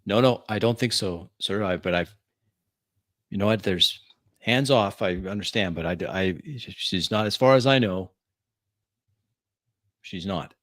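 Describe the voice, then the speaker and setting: Man playing a deep-voiced, deferential vampire supplicant. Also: a bit bright, dry recording, studio quality. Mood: nervous, placating. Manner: halting, apologetic, obsequious. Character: deferential vampire pleading with a powerful sheriff.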